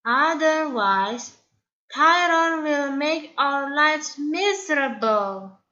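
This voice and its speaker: Lady doing a worried little dino boy for a storybook, really slow and hesitant, high voice. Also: lively intonation, pushed and bright, muffled recording, heavily acted. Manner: hesitant, drawn-out. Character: timid, worried, hopeful young male dinosaur.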